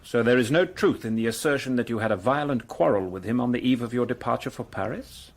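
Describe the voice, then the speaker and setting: A man's voice: mid-range voice, quick pace, natural voice. A man acts out a police detective in a radio mystery, in a middling register. He is brusque and insistent, putting formal questions with an accusing edge. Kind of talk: radio drama acting.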